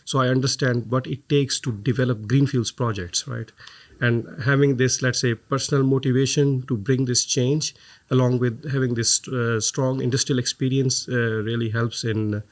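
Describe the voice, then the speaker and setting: A man's voice: mid-range voice, fairly even intonation, normal speed. A man, a podcast guest speaking earnestly with many hesitations. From Pakistan. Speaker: guest, head of green fuels. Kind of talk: podcast interview.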